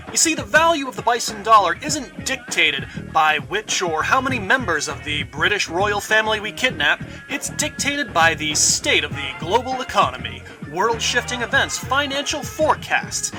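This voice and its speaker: Man acting a high-pitched pompous villain's propagandist. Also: poor audio. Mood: emphatic. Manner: pompous, theatrical salesman. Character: bombastic male villain's treasury executive, gleefully pompous propagandist. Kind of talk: comic in-character sponsor announcement.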